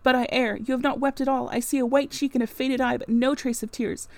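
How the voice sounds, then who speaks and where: A woman reading aloud, voicing a brooding middle-aged gentleman who pleads earnestly with his beloved. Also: high voice, fairly even intonation, brisk, no room sound. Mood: reproachful.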